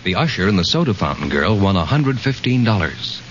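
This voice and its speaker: A man's voice: lowish voice, big pitch swings, brisk. An American man announcing a quiz show in a polished 1940s radio style. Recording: muffled old radio recording, faint noise.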